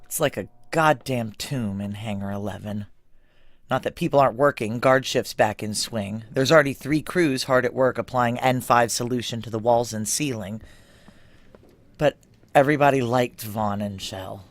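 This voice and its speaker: A woman's voice: extremely low pitch, normal speed, no echo. An actress playing a tough, weary female military chief in a noir audio drama, blunt, clipped and commanding as she acts and narrates. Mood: weary, wry. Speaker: narrator and lead actor.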